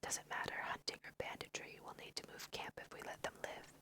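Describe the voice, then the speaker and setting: A woman's voice: a little fast, pushed and bright, natural voice. A woman whispering the lines of a scornful, accusing male elf hunter from a fantasy game. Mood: suspicious, accusing. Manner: contemptuous, accusing.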